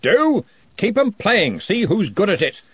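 A man voicing a loud, brusque old wizard chief in a storybook reading, bellowing and blustering. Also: sits high, very animated, a little slow, husky, no room sound, pitched above the performer's own voice, full character voice.

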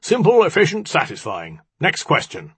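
Guy doing a big thick booming rat monster, blunt n scornful, really raspy, storybook villain energy. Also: wildly swooping pitch, normal speed, no room sound, heavily acted. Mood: brutish relish. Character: huge hairy rat-spirit brute, blunt and contemptuous.

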